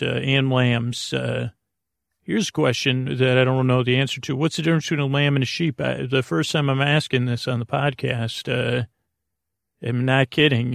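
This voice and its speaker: A man hosting a podcast in a rambling, whimsical bedtime monologue, halting and stammering, trailing off mid-thought with deadpan, absurd asides. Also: medium pitch, creaky, no room sound, clean studio sound. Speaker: podcast host.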